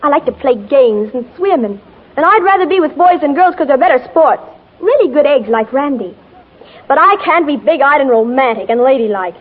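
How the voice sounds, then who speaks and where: Stubborn teen tomboy girl, high voice, gushy and dramatic, muffled 1940s radio sound. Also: expressive, moderate pace. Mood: earnest, defiant. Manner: earnest, slangy, gushing. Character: headstrong fifteen-year-old tomboy girl, breathless and dramatic.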